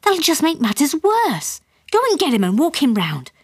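Woman acting an anxious, brisk mother. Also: high-pitched, wildly swooping pitch, dry recording, voice pushed up. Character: anxious, brisk, long-suffering middle-class mother.

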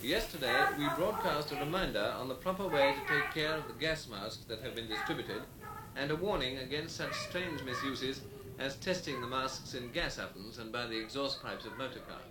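A man's voice: mid-range voice, moderate pace. A man reading a formal announcement in an archival radio bulletin. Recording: old noisy echoey radio broadcast.

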